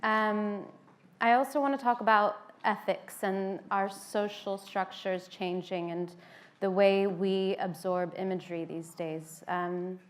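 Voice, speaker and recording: American woman, talk speaker, relaxed conversational delivery, lots of "um"s, mid-pitched. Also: slow. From United States (Washington, D.C.). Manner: conversational, with frequent "um" fillers. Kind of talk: informal seated talk to an audience.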